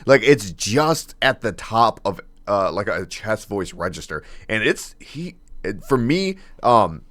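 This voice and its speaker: A man with a deep baritone voice reacting casually to a song, laughing often and rambling with fillers and restarts. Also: very animated, slow pace, a bit bright, no echo. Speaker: music reaction host.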